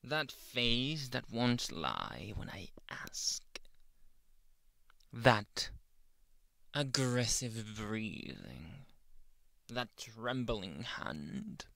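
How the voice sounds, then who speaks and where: A man performs a possessive young yandere boyfriend in an intimate ASMR roleplay. His delivery is slow and brooding, with a crackly fry under the scolding and frequent breathy whispers. The sound is very dry and close, right at the microphone. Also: medium pitch, big pitch swings, pushed and bright, no room sound, full character voice. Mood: menacing, intense.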